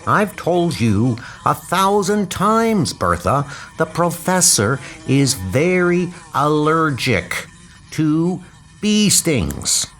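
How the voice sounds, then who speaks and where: A man's voice: on the high side, very animated, drawn-out words, a bit bright, heavily acted. A man voicing an earnest, polite schoolboy insect collector in a comic book dub, speaking precisely. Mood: defensive.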